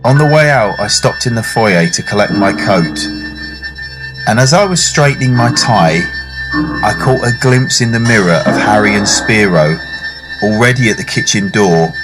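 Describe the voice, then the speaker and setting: A man playing a polite, reflective diner in a radio drama, measured and understated, well-spoken. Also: fairly low pitch, lively intonation, lightly acted, poor audio. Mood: reflective, ominous. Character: polite, reflective male diner narrating a macabre memory. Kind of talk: radio drama narration and dialogue. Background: music under the voice.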